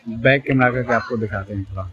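A man's voice: mid-range voice, quick pace, muffled recording, loud music behind the voice. A young man from Bihar giving a chatty, repetitive vlog tour of an outdoor stadium, filmed on a phone at arm's length. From Bihar, India. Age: young adult. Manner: chatty, addresses viewers directly, repeats himself. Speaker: vlogger. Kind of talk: casual vlog walkthrough.

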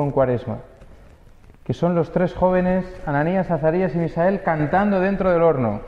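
High-voiced preacher in a reverberant church. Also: male voice, darkish tone. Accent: Spain Spanish. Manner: conversational preaching with rhetorical questions and tag words. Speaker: priest. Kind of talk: homily at Mass. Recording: dull, lo-fi audio with long church reverb.

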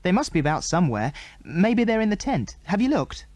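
A man voicing a plucky, quick-witted young girl in a children's audiobook, earnest, exclamatory and defiant. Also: high voice, very animated, a little mellow, dry recording, voice pushed up. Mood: bluffing.

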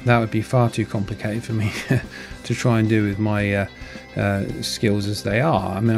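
A man casually narrating his hobby build in a modest, understated way. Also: fairly low pitch. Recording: music behind the voice. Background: guitar music.

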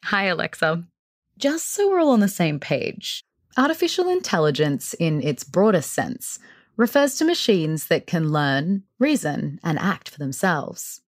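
Animated, lower-pitched woman game show host. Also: no room sound, clean studio sound. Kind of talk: theatrical game-show presenting.

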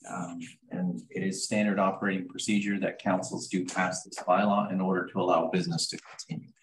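Brief: canadian municipal officer, lowish voice, formal report reading, roomy audio. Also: male voice, flat delivery, brisk, a little hiss or hum. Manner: formal, procedural, reads from a report. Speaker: chief administrative officer presenting reports.

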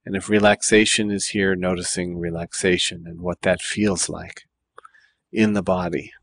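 Meditation guide: male, low register, calm and deliberate, leaving space between phrases. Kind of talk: guided body scan meditation.

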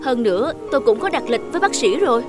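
A woman's voice: on the high side, sing-song, quick pace, music in the background. A woman voicing a vain, pushy famous female singer in a cartoon, sounding demanding and indignant.

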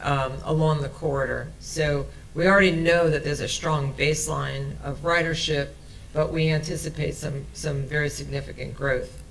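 A woman's voice: low voice, low-quality recording. A woman in her sixties giving a conference presentation at a podium in a hotel meeting room, talking conversationally with frequent fillers and restarts. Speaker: transit project presenter. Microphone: podium microphone.

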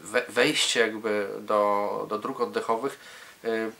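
Bright-voiced man explaining, halting and low. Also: fairly even intonation, creaky. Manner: hesitant, halting, often repeats words. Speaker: pulmonologist, interviewed specialist. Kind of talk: medical interview, explanatory talk.

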